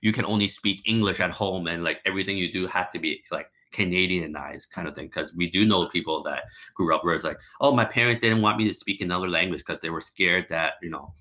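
A young man from Hong Kong, a guest on a podcast, talking in a relaxed, halting way full of fillers. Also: sits low. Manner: relaxed, hesitant, many likes and restarts. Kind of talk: casual interview chat.

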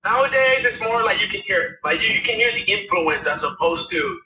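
Raspy high-voiced man, rambling. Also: animated, a little fast, bright, forceful tone, roomy sound, far from the mic, rough recording. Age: 30s. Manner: rambling, with many fillers and restarts. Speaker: musician guest. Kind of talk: casual interview talk.

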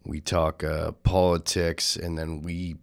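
Young man, very deep voice, podcast host, casual, swearing, joking. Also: flat delivery, takes it slowly, creaky, smooth.

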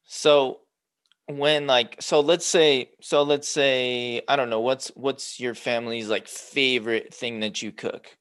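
A man's voice: medium pitch, steady tone, slow, a bit bright, clear, resonant tone, no echo, clean studio sound. A man from Los Angeles hosting a casual interview, asking rambling, restarting questions with enthusiasm. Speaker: host.

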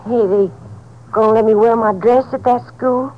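A woman's voice: a little high, steady tone, moderate pace, muffled recording, lightly acted. An actress playing a sullen, resentful, uneducated girl delinquent with a rural Southern accent, in 1950s radio drama style. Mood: wistful. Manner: folksy ungrammatical drawl. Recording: old 1950s radio recording.

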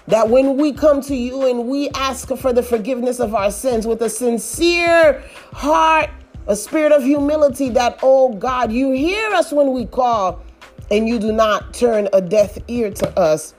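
Slow, high-voiced woman praying fervently. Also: faint music behind. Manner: pleading, repetitive invocations, rising to shouts. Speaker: prayer leader. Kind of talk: fervent intercessory prayer.

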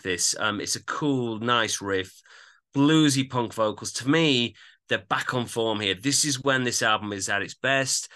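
A man's voice: mid-range voice, sharp tone. A man chatting casually as a podcast host, giving opinionated, analytical reviews of music.

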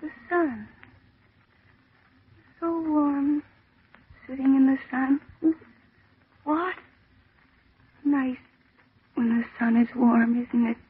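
An actress in an old-time radio drama playing a dazed woman retreating into a serene delusion, speaking in a dreamy, halting, childlike way. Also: high voice, fairly even intonation. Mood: dreamy, soothing. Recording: muffled, tinny old radio recording.

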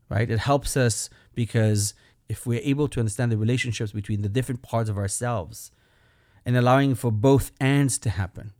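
A man from Belgium with a Belgian accent, a rabbi hosting his show and talking earnestly and personally, with gentle humor. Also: fairly low pitch, no room sound, studio quality. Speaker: rabbi and podcast host. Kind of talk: reflective solo talk.

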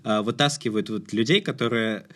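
A man co-hosting a podcast, talking casually and haltingly about film, groping for words in colloquial phrases. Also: lowish voice, fairly bright tone. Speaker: podcast host.

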